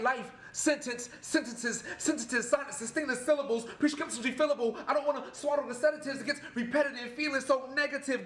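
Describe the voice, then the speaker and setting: A man's voice: very high-pitched, fairly bright tone. A man acting a troubled veteran poet, echoey room. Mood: pleading, intense. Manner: halting, stammering talk that turns into impassioned rhymed verse. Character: troubled male Marine veteran performing anguished spoken-word confessional poetry. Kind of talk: spoken-word poetry performance, rhythmic and rhyming. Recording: echoey room with faint background noise.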